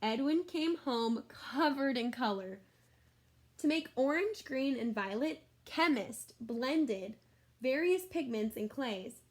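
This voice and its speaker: Lady teacher reading a picture book to kids, kinda high voice, really expressive, stops to explain stuff simply. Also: slow pace. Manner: expressive, exclaiming, explains things simply for children. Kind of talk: read-aloud storytelling with asides to young listeners.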